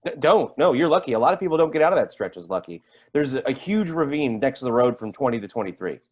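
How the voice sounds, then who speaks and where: An edgy, foul-mouthed highway patrolman , acted by a man in a stage drama. He talks quickly and trips over his words, his pitch rising and falling in a lively way as he rants. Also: highs cut off. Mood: concerned, matter-of-fact. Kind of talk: staged play reading.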